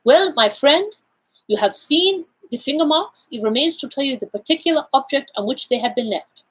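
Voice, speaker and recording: A woman voicing a courteous, self-assured Belgian male detective in an audiobook, speaking in a deliberate, formal, explanatory way. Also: sits high, lively intonation, pitched above the performer's own voice, rough recording. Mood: precise, explanatory. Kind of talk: audiobook character dialogue.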